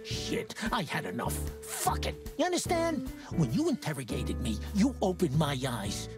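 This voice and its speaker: An actor playing an excitable, defiant male fly from the underworld in a noir game. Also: on the high side, normal speed, music in the background. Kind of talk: noir adventure game voice acting.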